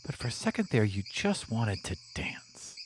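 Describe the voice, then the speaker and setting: A man's voice: on the low side, big pitch swings, moderate pace, crowd noise. A man narrating an improvised tabletop role-playing game as game master.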